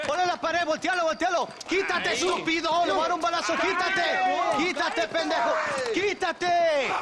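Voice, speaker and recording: An actor playing an agitated man yelling orders in a western video game. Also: very high-pitched, fairly even intonation, fairly quick. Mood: furious. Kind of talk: video game cutscene acting. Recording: noisy outdoor crowd scene.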